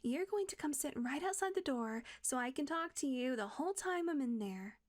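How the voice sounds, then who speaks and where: Woman doing a vampire lady, kinda high voice, whispery and tense, swears a lot. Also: lively intonation, moderate pace. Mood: weary, firm. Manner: shifts from hushed whispers to cursing outbursts. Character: tense female vampire guarding a hunter. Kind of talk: audio roleplay acting.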